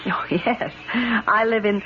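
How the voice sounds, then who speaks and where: A woman's voice: fairly high pitch, takes it slowly. An actress playing a bitter, guarded wealthy woman with a proud, clipped manner in a radio drama. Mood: guarded politeness. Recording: muffled radio drama recording with loud noise.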